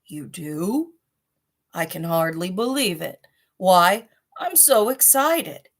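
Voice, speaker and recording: A woman voicing an angry, self-pitying old male rhinoceros in a children's storybook, gruff and lamenting. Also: sing-song, slow, heavily acted. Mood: excited. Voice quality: deep, gruff. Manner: grumbling, lamenting. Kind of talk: children's storybook read aloud.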